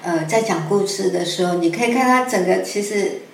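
A woman's voice: mid-range voice, fairly quick, gravelly. A woman with a Taiwanese Mandarin accent, speaking as an interview guest, explains things conversationally and hesitantly, piecing her thoughts together as she goes. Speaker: guest scriptwriter. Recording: echoey room with faint noise.

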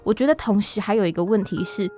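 A woman with a Taiwanese Mandarin accent hosting a reflective interview, explaining her thoughts at length in articulate, measured phrases. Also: medium pitch, lively intonation, mellow. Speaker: podcast host. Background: faint background music.

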